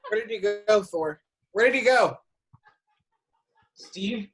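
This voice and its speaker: A woman's voice: medium pitch, wildly swooping pitch, takes it slowly, creaky, exaggerated voice. A woman acting out film script lines in an amateur table read, on a noisy home recording.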